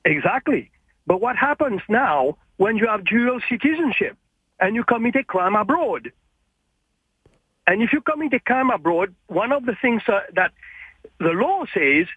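A male caller with a high, husky voice pressing his point and repeating himself. His pitch swings a lot as he talks, and he comes through a thin, tinny phone line. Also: moderate pace, no echo. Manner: animated, insistent, repeats points.

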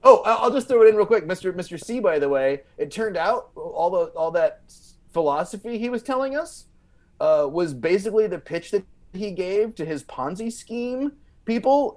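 A man with a slightly high, very animated voice tells stories like a comedian guesting on a show. His delivery is halting, with repeated words and plenty of "like" as he builds the tale. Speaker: podcast guest, stand-up comedian. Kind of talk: animated comic storytelling.

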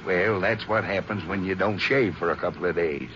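An actor playing a friendly, folksy rancher in a 1950s radio western, with an avuncular drawl. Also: male voice, deep voice, moderate pace, lightly acted. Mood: genial, coaxing. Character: folksy, friendly unshaven rural Texas rancher. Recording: dull, noisy old 1950s radio transcription.

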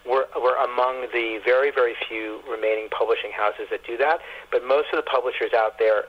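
A man speaking as an author guest in a radio interview, haltingly, often repeating words as he thinks. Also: mid-range voice, steady tone, a little hiss or hum. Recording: muffled lo-fi phone-like line.

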